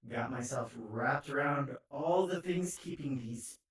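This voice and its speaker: A man's voice: mid-range voice, measured pace. A man playing a smug, murderous artificial intelligence in an audio drama, chatty and taunting, with an echoing machine treatment. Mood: gloating menace. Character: smug rogue station AI, gleefully murderous, theatrical. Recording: echoing, distant-sounding.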